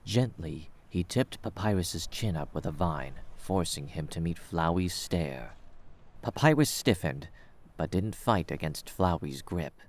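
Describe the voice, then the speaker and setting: A man's voice: deep voice, animated, unhurried. A man reading scripted third-person story narration as the narrator of an audio drama.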